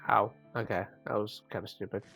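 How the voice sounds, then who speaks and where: A man with a cold, sounding croaky and sniffly, rambling casually as he comments on his own gameplay, with self-mocking asides. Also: fairly low pitch, steady tone, a little fast, bright, forceful tone, soft background music. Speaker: gaming channel host.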